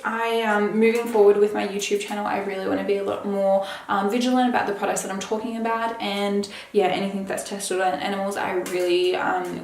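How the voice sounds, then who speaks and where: A woman's voice: mid-range voice, reverberant. A young adult woman casually reviewing a skincare product, chatty, with plenty of fillers. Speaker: beauty vlogger.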